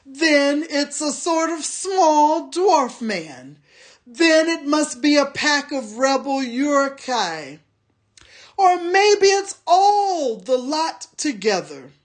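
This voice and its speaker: A big, bullying orc soldier with a hot temper, barking threats in a raised, lively voice, as a woman puts him on for a storybook reading. He talks slowly and with heavy weight. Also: sits high, voice pushed up, exaggerated voice. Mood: blustering, angry. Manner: shouting, threatening.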